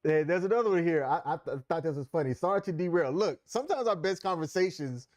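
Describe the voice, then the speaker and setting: An easygoing man co-hosting a live stream, steering the talk. His voice is a bit higher than most men's. The sound is dry and close, with no room echo. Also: animated, fast. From Maryland, USA. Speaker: co-host. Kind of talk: casual chat on a live stream.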